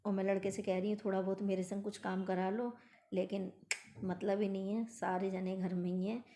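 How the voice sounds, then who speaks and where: A middle-aged woman with a hoarse, mellow voice narrates her day in a casual, homely way, speaking straight to her viewers. Her pitch stays flat and even. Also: brisk. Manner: chatty, homely, talks to viewers directly. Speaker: vlogger. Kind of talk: casual daily-routine narration.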